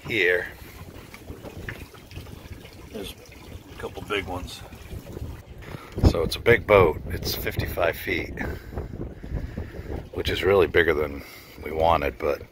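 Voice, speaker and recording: A man giving a relaxed, casual walkthrough of a boat he is looking at, thinking aloud as he goes, recorded outdoors in a marina on a camera mic. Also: sits low, noisy background. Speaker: boat owner touring a yacht.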